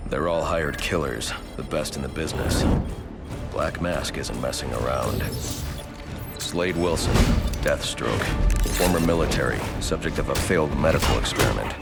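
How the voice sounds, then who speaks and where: Grim masked vigilante detective for a game: bottomless pitch, heavy gravel, clipped deadpan delivery. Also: male voice, normal speed. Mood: grim, analytical. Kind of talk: video game cutscene acting. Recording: loud music over the voice.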